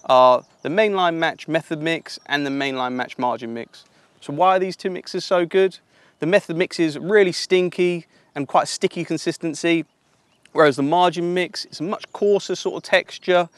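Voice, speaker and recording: A young man coaching listeners through an angling method in a relaxed, explanatory way, speaking partly as a voice-over. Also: a little high, no room sound. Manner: relaxed explanatory, practical tips. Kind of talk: instructional voice-over and commentary. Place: outdoors at a lake.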